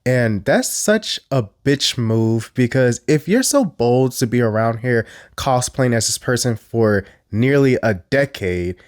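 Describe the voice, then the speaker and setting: A man's voice: medium pitch, lively intonation, unhurried, few pauses, dry recording, clean studio sound. Congested man in casual commentary. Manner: chatty, blunt, a little crude. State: congested from a cold. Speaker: YouTube commentator.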